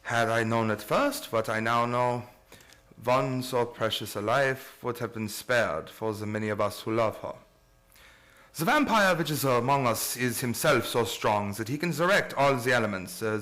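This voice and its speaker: A man's voice: mid-range voice, fairly bright tone, lightly acted. A man playing a grave, learned professor who hunts vampires in a radio play, speaking in solemn, formal oratory. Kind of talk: old-time radio play acting.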